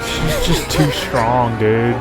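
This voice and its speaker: Low-voiced, slangy woman gamer. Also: huge pitch swings, takes it slowly. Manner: slangy, drawling reactions. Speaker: game streamer playing along. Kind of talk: casual gaming commentary. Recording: loud game music behind the voice.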